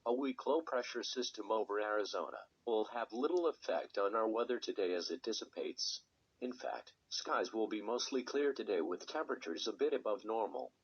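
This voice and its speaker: A synthetic text-to-speech male voice reading a scripted weather radio forecast in a measured, impersonal way. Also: on the low side, lively intonation, slightly rough. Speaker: automated weather radio announcer. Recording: dull lo-fi weather radio recording.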